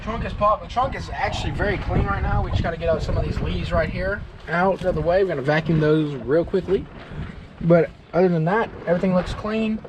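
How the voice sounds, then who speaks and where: A man's voice: sits high, fairly quick, background noise. An American man hosting a vlog, talking in a casual, folksy, hands-on way as he narrates what he is doing. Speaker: vlog host. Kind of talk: casual car-project vlog chat.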